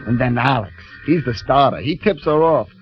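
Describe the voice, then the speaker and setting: An actor playing a naive, eager young elevator operator in a vintage radio drama, earnest, hesitant and slangy. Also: male voice, mid-range voice, moderate pace, a little hiss or hum, lightly acted. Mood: earnest, wistful. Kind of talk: 1940s-50s radio drama acting. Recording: dull vintage radio recording with music.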